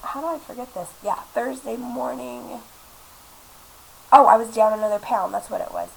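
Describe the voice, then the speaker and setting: A woman's voice: a little high, normal speed, creaky, breathy edge. A woman in her twenties, sick and congested, chatting casually to her laptop webcam in a small apartment, rambling with lots of fillers. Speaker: vlogger. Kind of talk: casual chat to camera. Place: small apartment room indoors. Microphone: laptop webcam mic. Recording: lo-fi webcam audio with faint hiss.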